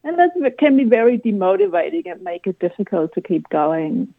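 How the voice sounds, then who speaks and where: A woman from Germany with a German accent, answering radio interview questions in a thoughtful, explanatory way with frequent pauses and ums. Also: mid-range voice, sing-song. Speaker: guest expert on language learning. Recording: phone-line interview audio.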